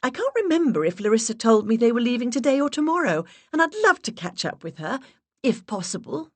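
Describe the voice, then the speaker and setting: A woman's voice: big pitch swings, a little fast, no echo. A woman putting on a gushing, giggly English socialite. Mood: gushing, coy. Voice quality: high, breathy, exaggerated accent. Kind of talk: audiobook character voice.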